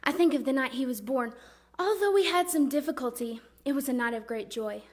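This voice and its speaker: A woman performing a dramatic religious monologue as a grieving, devout mother recalling her son, speaking earnestly and deliberately. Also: sits high. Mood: fond reminiscence. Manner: earnest, reverent, deliberate storytelling.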